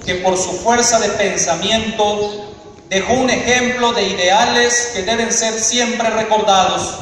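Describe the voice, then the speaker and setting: A man's voice: sits high, a bit bright. Mexican man giving a solemn speech. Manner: solemn, measured oratory. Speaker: speaker at a commemoration. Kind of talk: formal commemorative speech. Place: large open-sided community hall. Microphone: podium microphone through PA speakers, filmed from afar. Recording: echoey PA sound from far off.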